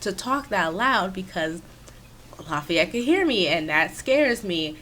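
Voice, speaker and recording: A woman with a raspy, stuffy voice, chatting casually and rambling with many fillers, recorded on a sensitive microphone in her home office. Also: medium pitch, animated, takes it slowly, a bit bright, a little hiss or hum. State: stuffy from sinus trouble. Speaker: video creator. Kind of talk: casual commentary over a speed-build. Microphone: new sensitive desk microphone.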